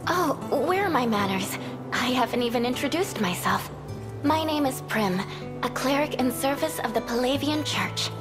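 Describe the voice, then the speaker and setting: A woman playing a gentle, courteous young cleric in a fantasy video game, with a sweet, caring manner. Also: high voice, lively intonation, moderate pace, music in the background. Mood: polite, cheerful.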